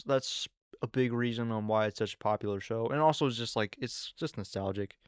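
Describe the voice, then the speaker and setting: An eighteen-year-old man hosting his own podcast, rambling casually with lots of fillers and excited asides. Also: mid-range voice, clear, resonant tone, dry recording, studio quality. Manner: rambling, filler-heavy, excitable teen talk. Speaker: podcast host. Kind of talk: casual solo podcast chat.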